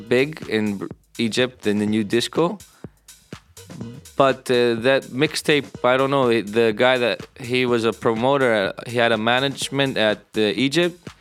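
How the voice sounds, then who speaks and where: A man from Canada and Greece giving casual interview answers as a DJ, often halting to search for words. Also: mid-range voice, a bit bright. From born in Canada, moved to Greece at thirteen. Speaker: DJ and music producer. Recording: music playing underneath. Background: music.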